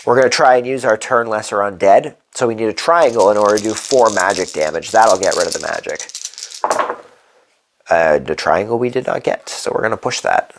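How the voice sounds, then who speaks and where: A man's voice: fairly low pitch, fairly quick, background noise. A congested man hosting a solo board game playthrough, thinking aloud as he works through the rules and dice rolls. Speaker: board game channel host.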